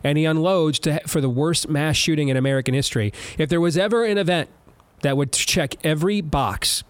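A male talk show host interviewing a guest, speaking in a loud, combative way. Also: on the high side, fairly quick, smooth, no echo.

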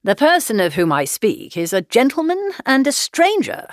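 A woman reading aloud and voicing a wry older gentleman, a father who speaks in a measured, teasing way. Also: fairly high pitch, sing-song, no room sound, clean studio sound, voice pushed up. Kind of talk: audiobook reading.

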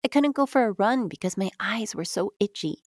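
A woman's voice: medium pitch, lively intonation, a little fast, dry recording, clean studio sound. A woman delivering a scripted, first-person sponsor read for a podcast.

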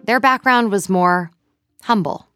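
An American woman playing an investigative journalist, narrating in a measured, scripted documentary podcast style. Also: mid-range voice, a bit bright, smooth, soft background music, close to an everyday voice. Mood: measured, serious.

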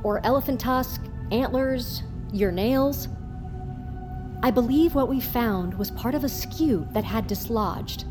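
Calm lady scientist, higher voice, matter of fact explainer, audio drama. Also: takes it slowly, music in the background. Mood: composed, certain. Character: composed woman scientist on an expedition crew.

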